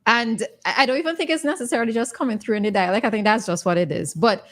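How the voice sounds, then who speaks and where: A woman's voice: a little high, quick pace, a bit bright. Blunt Vincentian woman on morning radio. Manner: blunt, opinionated, chatty. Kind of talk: casual morning radio chat.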